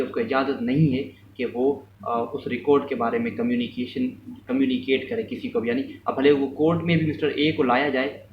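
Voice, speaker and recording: Echoey, distant-sounding man giving a lesson. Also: mid-range voice. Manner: explains step by step, often repeating and rephrasing; mixes English terms and phrases into speech. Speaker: law lecturer. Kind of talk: informal explanatory law lesson with hypothetical examples. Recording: echoey room, distant mic, muffled, faint noise.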